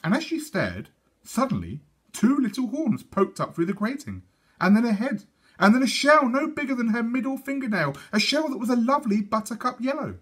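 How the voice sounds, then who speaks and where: A man reads a children's storybook aloud with a teacher's measured delivery. His pitch is very animated and his tone slightly husky. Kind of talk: expressive storybook narration.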